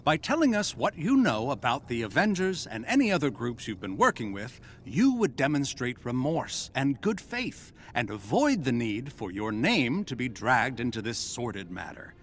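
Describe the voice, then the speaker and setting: An actor playing a coldly formal, officious corporate official in a video game audio log, speaking in measured, veiled threats. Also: male voice, medium pitch, sing-song, slight background noise, natural voice. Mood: coldly threatening.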